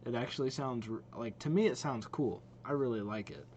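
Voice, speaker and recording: A man hosting a reaction video, giving casual commentary as he thinks aloud in a halting way. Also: medium pitch, a little mellow, lo-fi sound, slight background noise.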